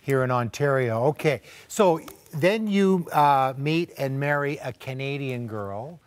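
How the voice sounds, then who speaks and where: A man's voice: mid-range voice, a little slow. Man hosting a TV interview. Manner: leading questions that sum up the story. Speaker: TV talk show host. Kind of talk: interviewing a guest. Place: TV studio set. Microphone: lapel mic.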